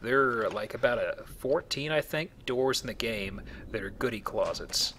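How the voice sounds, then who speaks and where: A man gives casual let's play commentary in a bright, forward voice of middle pitch. He explains as he plays and tosses in joking asides, with faint game noise behind him. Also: animated, moderate pace.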